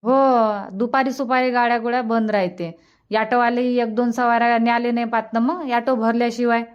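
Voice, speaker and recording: A woman's voice: fairly high pitch, fairly even intonation, moderate pace, smooth, highs cut off, close to an everyday voice. A woman voicing a reassuring grandmother in a village family cartoon, with a colloquial Vidarbha Marathi accent. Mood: matter-of-fact. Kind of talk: cartoon comedy dubbing in rural dialect.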